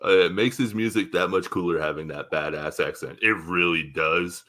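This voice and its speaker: An American man hosting a podcast, laid-back and quick to laugh in casual chat. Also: deep voice, steady tone, bright, forceful tone. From United States. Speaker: podcast host.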